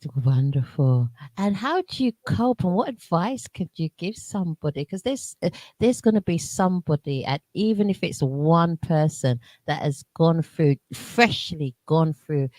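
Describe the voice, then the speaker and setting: A woman hosting a radio interview, chatty and given to repeating herself and quick asides. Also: low-pitched, lively intonation, warm tone, no echo. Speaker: radio host.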